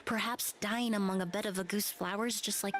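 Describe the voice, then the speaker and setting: A woman's voice: medium pitch, fairly even intonation, fairly quick, noisy background, natural voice. A woman playing a poised, thoughtful young woman in an anime video game, speaking in a composed, formal manner. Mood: solemn.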